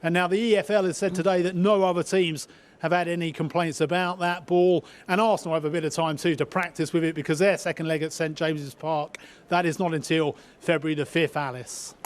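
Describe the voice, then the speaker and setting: A man presenting the sports news on a broadcast bulletin in a brisk, matter-of-fact way. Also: a little high, fairly even intonation.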